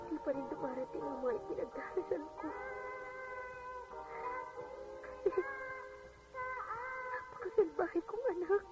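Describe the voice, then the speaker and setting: A penitent daughter in a radio drama, praying in anguish. Her voice is high and pleading, heard from a distance through a radio playback, with music underneath. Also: animated, normal speed. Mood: anguished, regretful. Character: remorseful daughter praying tearfully to God.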